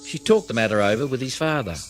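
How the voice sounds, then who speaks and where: A man reading a children's audiobook in an expressive storytelling style, with plucked guitar music behind him. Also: mid-range voice, fast talker. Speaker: audiobook narrator.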